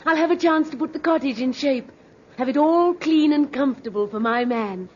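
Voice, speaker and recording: A woman's voice: sits high, moderate pace, close to an everyday voice. An actress playing a devoted, dreamy young woman in love in a 1950s radio drama, earnest and tender. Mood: tender, anxious. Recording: dull, noisy 1950s radio recording.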